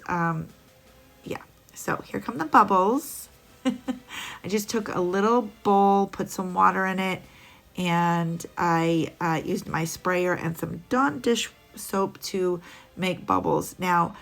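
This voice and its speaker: A woman's voice: fairly low pitch, takes it slowly, gravelly, a bit bright, faint music behind. A woman narrating a casual nail art tutorial, chatty and rambling with little laughs, a bit sniffly.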